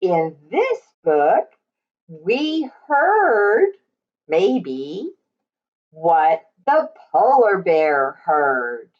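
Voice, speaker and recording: A woman reading a picture book aloud to young children in an expressive, sing-song way. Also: fairly low pitch, drawn-out words. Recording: slightly dull, lo-fi recording.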